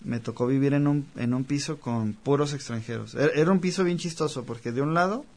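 A man's voice: mid-range voice, fairly quick, darkish tone. A man, a musician guest talking casually in an interview, rambling with many fillers. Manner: chatty, rambling, lots of fillers. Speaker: guest, cellist.

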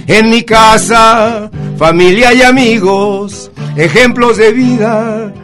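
A man sings a tender ballad with a high, light voice. He stretches every line out unhurriedly. A plucked guitar plays clearly behind him. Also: a bit bright. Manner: slow, heartfelt sung delivery. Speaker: singer-songwriter guest.

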